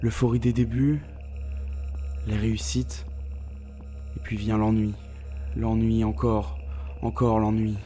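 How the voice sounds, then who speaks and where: Man playing a grave, haunted space scientist, mellow voice. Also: fairly low pitch, level tone, faint music behind. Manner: grave, deliberate, weighted pauses. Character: male scientific officer haunted by a doomed space mission. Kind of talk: scripted dramatic first-person narration.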